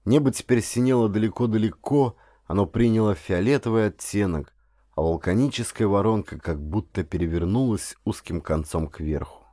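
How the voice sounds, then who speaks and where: A man's voice: on the low side, moderate pace, warm tone, no room sound, clean studio sound. A man, a professional actor, speaking as an audiobook narrator with a dramatic storyteller's delivery. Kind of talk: dramatic scripted audiobook narration, then direct address to listeners.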